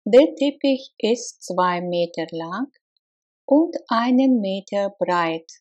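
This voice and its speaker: A woman with a mid-pitched voice reads practice sentences at a very slow, careful pace, leaving clear gaps between the words. The recording sounds a little dull and lo-fi. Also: expressive, a little mellow. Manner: slow, deliberate, clearly spaced repetitions. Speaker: language teacher. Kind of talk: slow repeated phrase drills.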